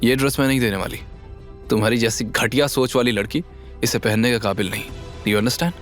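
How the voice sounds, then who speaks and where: A man's voice: medium pitch, brisk, close to an everyday voice. A man voicing a proud, firm young woman who stands up for herself in an audio drama, over music. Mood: defiant anger. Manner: firm, defiant.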